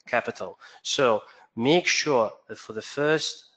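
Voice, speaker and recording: A man's voice: mid-range voice, slow pace, a bit bright, rough recording. A man presenting a trading webinar, explaining steadily, with occasional fillers and self-corrections. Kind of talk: instructional webinar talk.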